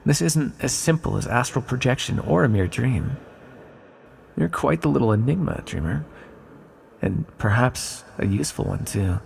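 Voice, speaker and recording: A man voicing an ancient imprisoned villain in an ASMR roleplay, sly, lonely and tenderly flirtatious, speaking in an intimate, teasing, musing way with dramatic pauses. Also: medium pitch, expressive, creaky, darkish tone, breathy edge, exaggerated voice. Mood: intrigued, scheming. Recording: long reverberant echo.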